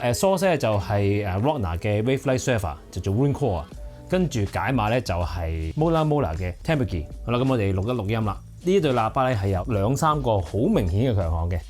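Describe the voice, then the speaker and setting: A man's voice: fairly low pitch, a little mellow. A man with a Hong Kong accent giving a conversational, explanatory product review as host. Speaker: audio equipment reviewer and host.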